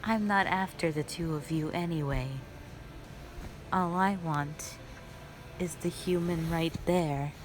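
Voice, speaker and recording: A woman playing a cruel, mockingly sweet spider-woman monster who taunts slowly and with menace in a fantasy audio roleplay. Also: on the low side, background noise. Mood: cold contempt. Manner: slow, drawn-out, condescending taunting.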